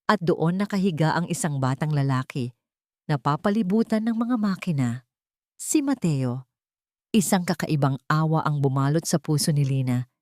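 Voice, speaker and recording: A woman's voice: on the low side, a little mellow, no room sound, studio quality. A woman narrating a scripted dramatic story as an audio drama.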